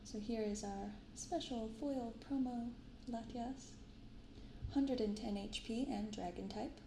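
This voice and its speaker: A soft-spoken woman hosting an unhurried ASMR-style unboxing, recorded in an untreated apartment room. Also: on the high side. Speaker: host of a card unboxing channel. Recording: roomy untreated apartment, clear background noise.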